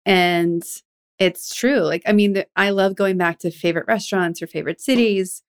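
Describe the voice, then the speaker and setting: A woman's voice: medium pitch, fairly even intonation, moderate pace, clear, resonant tone. A woman hosting a podcast, talking in a curious, chatty way and asking follow-up questions. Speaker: podcast host. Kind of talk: casual interview chat.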